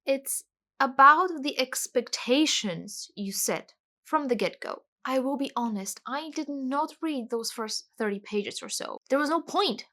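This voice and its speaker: A woman's voice: high-pitched, expressive, measured pace, sharp tone. A woman from Bulgaria, ranting animatedly and emphatically as a casual book vlogger. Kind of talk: casual book review rant.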